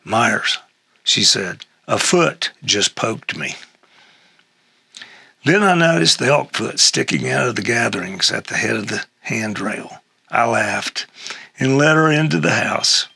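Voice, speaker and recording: An older man with an American accent and a gravelly, husky voice, reading a humorous personal essay aloud in a halting way. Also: fairly low pitch, animated, pushed and bright, no room sound. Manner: halting, with throat clearing and chuckles.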